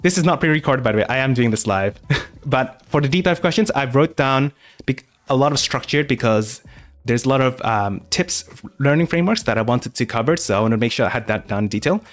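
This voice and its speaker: A man's voice: medium pitch, expressive, fairly quick, faint music behind. A man hosting a live question-and-answer stream, talking casually with many fillers and restarts. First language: English. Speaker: art channel host.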